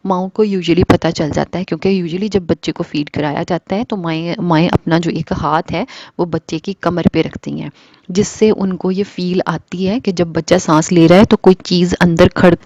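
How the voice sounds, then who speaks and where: Woman presenter, baby-care explainer, mellow low voice, dry close sound. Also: no room sound. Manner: patient step-by-step explaining, mixing in English phrases. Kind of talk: explanatory talk to parents.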